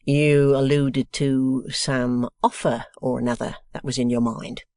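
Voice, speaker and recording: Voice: medium pitch, flat delivery, vocal fry, darkish tone, dry recording, clean studio sound. Mr Wegg, a smug, fawning man as a reader puts him on. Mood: obsequious. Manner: slow, polite, sir-peppered. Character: deferential, self-satisfied man with a wooden leg. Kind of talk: audiobook character reading.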